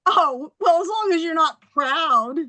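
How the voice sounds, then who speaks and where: An actress playing a hurt, stubborn, sardonic New York housewife at a table read, with emphatic, sarcastic delivery. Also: female voice, very high-pitched, animated, a little slow, pushed and bright, exaggerated voice. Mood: tearful, sarcastic. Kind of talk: table read of a TV comedy-drama script.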